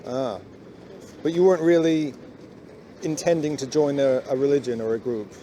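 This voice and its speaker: A man's voice: mid-range voice, steady tone, moderate pace. A man asking skeptical, hesitant questions in a casual street conversation. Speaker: interviewer. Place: busy city street outdoors. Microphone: hidden recorder. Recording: street noise, secret recording. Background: traffic.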